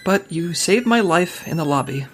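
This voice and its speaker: A woman's voice: lowish voice, expressive, moderate pace, husky. A woman playing a prim, dry secret agent who speaks precisely and formally in an audio comedy drama. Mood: dry, reconciled.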